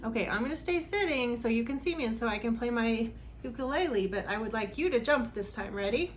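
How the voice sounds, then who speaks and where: A woman's voice: fairly high pitch, lively intonation, a little fast, soft background music. A woman hosting a children's read-aloud, reading a storybook expressively with dramatic emphasis.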